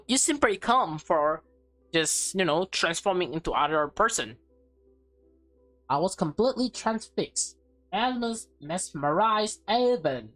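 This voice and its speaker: A woman with a fairly low voice reads a visual novel aloud over prominent music. Her delivery is expressive, and she slips between narrating and offhand casual comments as host of the playthrough.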